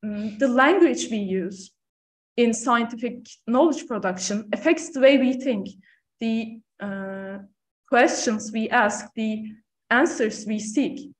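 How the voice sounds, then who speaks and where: A woman, giving a measured, earnest talk as a panel speaker. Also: a little high. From Turkey. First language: Turkish. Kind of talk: prepared talk at a panel event. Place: lecture room on stage, seated panel. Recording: echoey lecture room.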